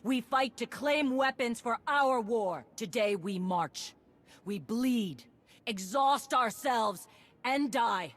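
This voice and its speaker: An actress playing a tough, blunt warrior commander with a dry, rallying delivery. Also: female voice, a little high, lively intonation, takes it slowly.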